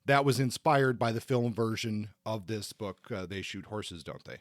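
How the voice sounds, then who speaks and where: Low-voiced man, dry close sound. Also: expressive, dry recording. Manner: halting, often repeats words. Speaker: podcast co-host. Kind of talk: conversational book discussion, explaining history.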